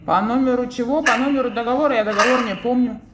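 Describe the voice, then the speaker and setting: A woman's voice: on the high side, quick pace. A woman in a casual phone conversation, speaking colloquially with a hesitant, questioning manner. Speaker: bank customer receiving a suspicious call. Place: telephone call. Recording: echoey, noisy recorded telephone call.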